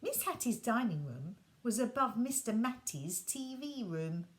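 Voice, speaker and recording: Slow, expressive woman reading a bedtime story. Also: on the high side.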